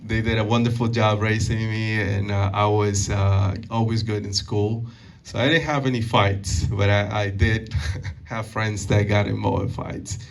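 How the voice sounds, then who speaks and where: Halting low-voiced man from Peru. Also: level tone, gravelly, far from the mic. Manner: halting, many fillers and restarts. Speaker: guest speaker. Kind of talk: community talk answering audience questions. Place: meeting hall.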